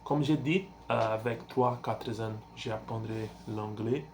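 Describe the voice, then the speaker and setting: Halting Brazilian man in his twenties. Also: lowish voice, darkish tone. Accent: Brazilian Portuguese. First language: Portuguese. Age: 20s. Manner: halting, searches for words with many fillers and restarts. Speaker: language learner vlogger. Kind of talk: casual self-introduction.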